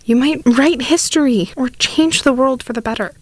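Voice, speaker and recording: A woman's voice: high-pitched, moderate pace, a little mellow, dry recording. A woman playing a proud, persuasive mother urging her grown son on, in an audio drama. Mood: disbelieving, persuasive.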